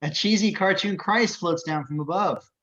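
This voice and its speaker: A man's voice: high voice, moderate pace, a bit bright, dull audio. A man reading out the stage directions of a film script as scripted narration.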